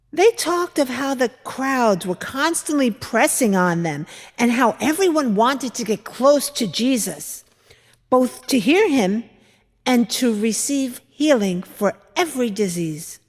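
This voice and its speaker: A woman performing a dramatic monologue as a skeptical older mother-in-law from biblical times, telling her memories in a deliberate, expressive, reflective way. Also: fairly high pitch. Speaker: dramatic reader at a church service. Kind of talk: dramatic monologue, measured storytelling. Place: large church interior. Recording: echoey church hall, long reverb.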